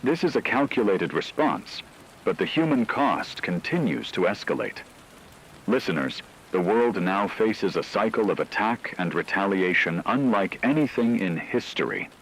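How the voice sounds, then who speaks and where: A man playing a solemn radio news anchor, reading scripted dramatic war reports in a measured, portentous way. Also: on the low side, expressive, rough recording. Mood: grave, solemn. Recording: faint rain and radio noise.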